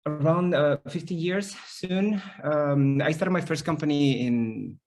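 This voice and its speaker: A middle-aged man from Chile, a native Spanish speaker with a Chilean accent, lively and storytelling as a company CEO in a call interview. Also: a little high, measured pace. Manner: lively, storytelling, frequent restarts. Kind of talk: business interview on a video call.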